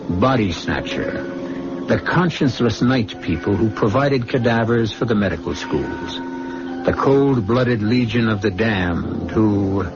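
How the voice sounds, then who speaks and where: A man hosting a radio drama, narrating in an ominous, theatrical, deliberate old-time style. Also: fairly low pitch. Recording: muffled old radio recording with music.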